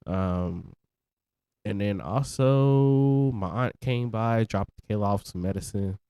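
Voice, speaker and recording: A young man co-hosting a podcast in relaxed chat, talking with easygoing slang and sounding congested. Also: fairly low pitch, slow pace, smooth, no echo, studio quality. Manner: relaxed, laid-back slang. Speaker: podcast co-host. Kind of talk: casual chat.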